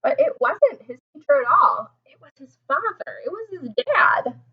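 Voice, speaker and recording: Animated, high-voiced woman reading a storybook. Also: moderate pace, muffled recording. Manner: expressive, explains and asks child-directed questions. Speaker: teacher reading aloud to young children. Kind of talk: animated storybook read-aloud with questions to listeners.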